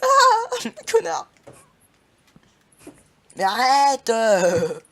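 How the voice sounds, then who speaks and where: A man's voice: very high voice, wildly swooping pitch, very slow, pushed and bright. A young man hosting a casual gaming session, laughing often and swearing playfully at his partner. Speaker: gaming channel host.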